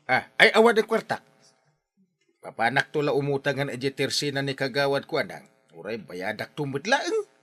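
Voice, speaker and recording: An actor with an Ilocano accent playing a blustering but sheepish middle-aged village captain in a radio comedy, exclaiming and wheedling. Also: male voice, mid-range voice, wildly swooping pitch, moderate pace, fairly bright tone.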